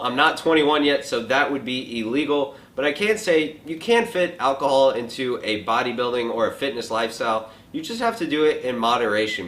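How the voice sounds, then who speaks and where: A twenty-year-old man hosting a casual question and answer vlog from a home kitchen, relaxed and joking, with lots of filler likes. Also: mid-range voice. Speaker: fitness vlogger answering viewer questions. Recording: echoey room.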